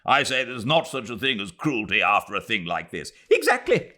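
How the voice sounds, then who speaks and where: A man with a British accent voicing a spiteful, indignant middle-aged English lady, haughty and shrill. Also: huge pitch swings, normal speed, bright, forceful tone, exaggerated voice. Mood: furious.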